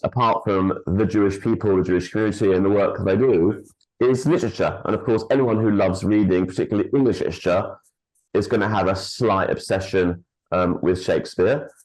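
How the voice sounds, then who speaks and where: A man hosting a formal online interview over a video call, speaking in a measured way with frequent hesitant pauses. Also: fairly low pitch, darkish tone, rough recording. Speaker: host and chief executive.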